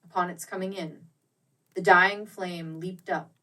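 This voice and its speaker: Woman reading an old ghost story out loud, lowish voice, dramatic and careful phrasing, a bit off the mic. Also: fairly even intonation, unhurried, distant mic. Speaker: audiobook reader. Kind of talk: dramatic storybook narration of a classic ghost tale.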